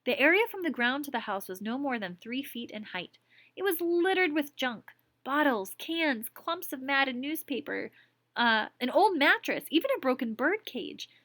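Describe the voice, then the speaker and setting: Teacher reading a story aloud, dry close sound. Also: female voice, high-pitched, sing-song, normal speed, fairly bright tone, dry recording. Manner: reads the story text, then stops to define words and ask checking questions. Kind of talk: classroom read-aloud with vocabulary explanations.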